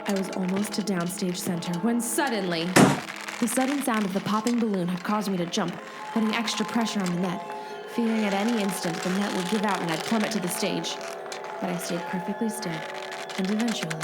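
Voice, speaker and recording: An actress plays a determined heroine telling her own adventure in a radio play. Her mid-range voice is earnest and dramatic, with loud music underneath. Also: medium pitch, close to an everyday voice. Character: Kimberly, earnest, determined woman heroine narrating her rescue. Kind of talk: dramatic scripted first-person narration in comedic audio drama.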